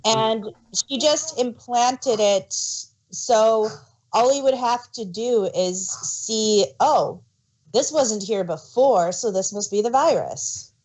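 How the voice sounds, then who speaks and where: A woman co-hosting an audio drama review, chatting casually and explaining her points in a measured way. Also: fairly high pitch, darkish tone, rough recording. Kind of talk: casual chat.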